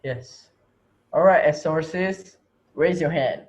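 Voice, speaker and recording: A man plays a menacing schoolteacher turned captor in a reader's theater drama. His voice sits fairly high for a man, and he toys with his victims in a mocking, taunting way. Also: slow. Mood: stern, accusing. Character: menacing male teacher holding his class hostage, mocking, taunting. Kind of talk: amateur reader's theater acting.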